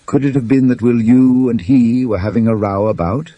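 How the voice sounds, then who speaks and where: Man playing a breezy, mellow British gentleman. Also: mid-range voice, normal speed, no room sound, highs cut off, pitched above the performer's own voice, lightly acted. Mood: musing. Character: mature gentleman, companionable, mildly flippant newspaper reader.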